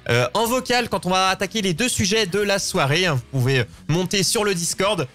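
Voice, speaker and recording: A man's voice: sits high, expressive, brisk, fairly bright tone, faint music behind. A man hosting a live show in a chatty, informal, joking way. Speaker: show host. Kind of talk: casual live show presenting.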